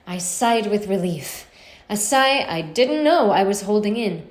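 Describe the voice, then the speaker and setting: A woman narrates a story in the first person with an expressive delivery. Her voice sits at a middling pitch. The recording is roomy and echoing. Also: a little slow. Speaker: story reader.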